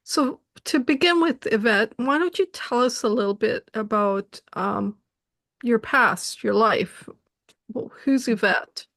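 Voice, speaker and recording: A woman's voice: on the high side, slow pace, fairly bright tone, dry recording, studio quality. A woman from Tanzania, raised in Canada, hosting an interview with frequent short affirmations. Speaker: interview host.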